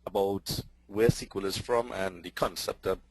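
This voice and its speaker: A man from Trinidad with a Trinidadian accent, giving a technical webinar presentation in a quick, excitable way with many fillers. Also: low-pitched, husky, rough recording. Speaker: presenter and database lecturer.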